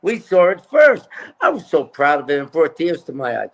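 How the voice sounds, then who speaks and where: A man's voice: fairly high pitch, wildly swooping pitch, normal speed, fairly bright tone. A man talking as a political candidate in a casual interview, emphatic and repeating his points.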